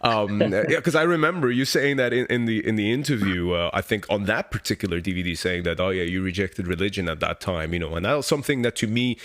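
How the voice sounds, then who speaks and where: A young man from Iran talks in a very low, deep voice, chatting loosely and eagerly with lots of fillers and the odd curse. He sounds like a fan doing a relaxed interview. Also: fast, hardly any pauses. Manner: loose and excitable, swearing, many fillers. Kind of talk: casual interview chat.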